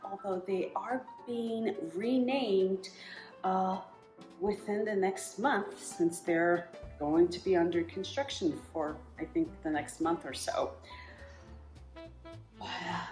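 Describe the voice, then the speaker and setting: A woman's voice: mid-range voice, takes it slowly, pauses often, a little mellow, echoey room, faint music behind. A woman hosting her own home cooking show, chatting casually through a recipe with filler words and asides.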